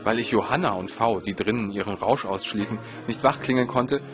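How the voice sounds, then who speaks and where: Fast-talking low-voiced male narrator. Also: music in the background. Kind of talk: scripted autobiographical narration.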